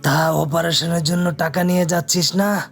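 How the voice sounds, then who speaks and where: A man voicing a gruff, threatening bandit henchman in a cartoon. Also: a little high, flat delivery, normal speed, a bit bright, faint music behind. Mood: menacing. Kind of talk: animated cartoon voice acting.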